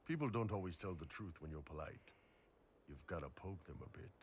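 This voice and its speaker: A man's voice: low voice, animated, fairly quick, a little hiss or hum. A man playing a seasoned, unbothered warrior in a game, blunt and laid-back in his talk. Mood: blunt, reassuring. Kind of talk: game cutscene acting.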